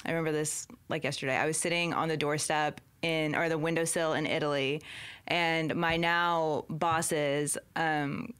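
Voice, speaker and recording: A woman's voice: low-pitched, flat delivery, moderate pace, fairly bright tone, clear, resonant tone. A young adult woman with an American accent, casually telling her life story as a podcast guest. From Oklahoma, United States. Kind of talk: casual autobiographical chat. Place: indoor podcast room with poster wall. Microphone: desk microphone.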